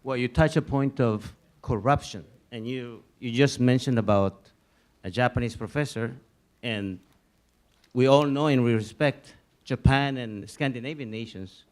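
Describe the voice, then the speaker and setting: A man's voice: mid-range voice, unhurried, darkish tone. Man from Bolivia asking pointedly. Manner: pointed, insistent. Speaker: audience member. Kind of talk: asking a question.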